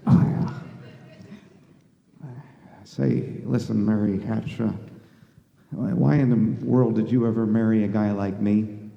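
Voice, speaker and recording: An actor playing an earnest, flustered small-town young husband in a live radio play, stammering and hesitant in a folksy way. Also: male voice, on the low side, level tone, slow pace, vocal fry, long pauses, muffled recording. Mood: sheepish. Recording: echoey hall, live stage.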